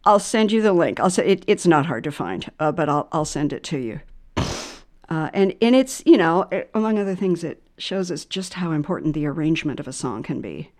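A woman hosting a reflective music conversation, speaking thoughtfully and haltingly, often repeating words and phrases as she goes. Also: low voice, animated, darkish tone. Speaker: podcast host.

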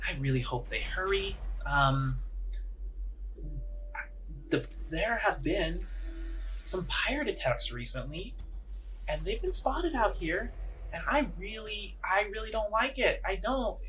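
A man's voice: sits high, lively intonation, takes it slowly, long pauses, pushed and bright, slight background noise, pitched above the performer's own voice, full character voice, rough recording. A man voicing a worried, hesitant middle-aged mother in a tabletop space adventure. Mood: worried, hushed. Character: anxious graying middle-aged mother, fretting about pirates.

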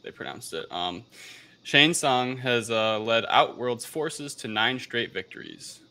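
A man's voice: mid-range voice, sing-song, measured pace, gravelly, sharp tone. A man hosting a podcast, talking casually through a film review in a somewhat hesitant way. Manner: hesitant, unsure of pronunciations. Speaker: podcast host.

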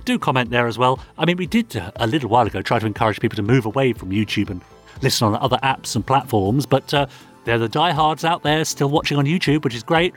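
A man's voice: mid-range voice, fast talker, soft background music. A man co-hosting a podcast, reading listener comments and bantering in a jokey way. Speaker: podcast co-host.

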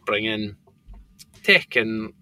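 A man's voice: lowish voice, lively intonation, very slow, sharp tone, rough recording. A man with a thick Scottish accent, a company founder talking casually as a podcast guest, rambling with lots of fillers. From Scotland. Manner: rambling, filler-heavy storytelling.

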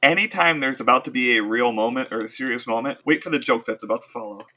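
A man's voice: medium pitch, fast, sharp tone. A man co-hosting a podcast, talking casually about pop culture with a wry, self-deprecating manner. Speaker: podcast co-host.

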